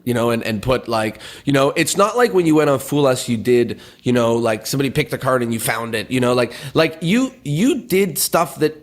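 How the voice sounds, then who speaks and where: A man's voice: medium pitch, expressive, fairly quick, hardly any pauses. A man hosting a magic podcast, asking long, winding questions packed with "you know" and restarts. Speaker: podcast host.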